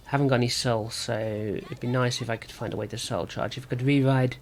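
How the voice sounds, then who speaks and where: Male gaming video host, medium pitch, sore and slightly rough voice, casual play-by-play, reasoning through moves aloud. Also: fairly even intonation, brisk, faint music behind. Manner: thinking aloud. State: sore throat. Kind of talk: casual card game commentary.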